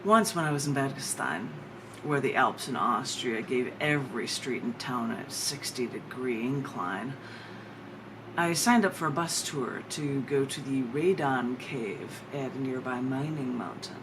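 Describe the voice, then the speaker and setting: A woman with an American accent giving a live poetry reading, with theatrical, drawn-out emphasis on her words. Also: very deep voice. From United States. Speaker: poet. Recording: clear background noise.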